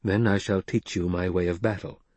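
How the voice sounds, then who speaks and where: A man voicing a poised, composed noblewoman who speaks calmly and measuredly. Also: sits low, husky, no room sound. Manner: calm and measured.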